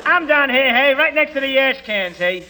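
Slangy comic Romeo, hissy old radio. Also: male voice, extremely high pitch, lively intonation, normal speed, over a noisy crowd. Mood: eager, pleading. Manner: slangy, uneducated, tacking "hey" onto sentences. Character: lovesick rough-talking young male Romeo from the streets, comic. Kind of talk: 1940s radio comedy acting.

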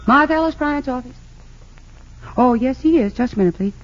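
Wry, lively lady lawyer, muffled. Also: high voice, a little fast. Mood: wry, teasing. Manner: wry, familiar. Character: sharp, teasing professional woman lawyer. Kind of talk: 1940s-50s radio drama acting. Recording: dull lo-fi old radio recording.

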